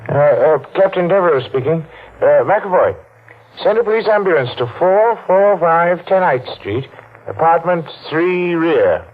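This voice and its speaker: An actor playing a brusque, matter-of-fact police captain in a 1940s radio drama. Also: male voice, on the high side, animated, unhurried. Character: gruff tough police captain. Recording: tinny old radio broadcast with hiss.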